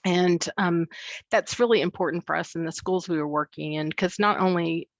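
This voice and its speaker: A woman researcher giving an academic presentation at a book launch, speaking in a measured way with many ums and uhs, over a video call. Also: on the low side, fairly even intonation, no room sound, lo-fi sound. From not from New Zealand. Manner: measured, with frequent um and uh fillers. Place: New Zealand, speaking remotely.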